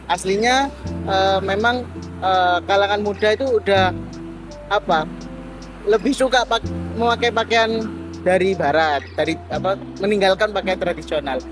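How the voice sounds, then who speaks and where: A man in his twenties with an East Javanese Indonesian accent, answering interview questions casually and haltingly as he searches for words. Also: high voice, over music, poor audio. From Probolinggo, East Java, Indonesia. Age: 20s.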